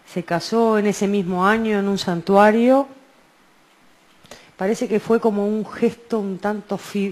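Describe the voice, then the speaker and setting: A woman co-hosting a radio show, talking about music in a casual, halting, hesitant way. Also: lowish voice, a little mellow. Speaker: radio co-host.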